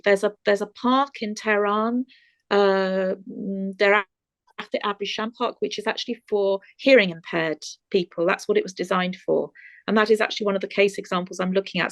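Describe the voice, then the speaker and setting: Woman lecturer on a video call, gravelly voice, dry sound, thoughtful, pauses with fillers as she answers. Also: medium pitch, no room sound. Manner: thoughtful, hesitant with many fillers. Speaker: guest lecturer. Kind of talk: answering audience questions.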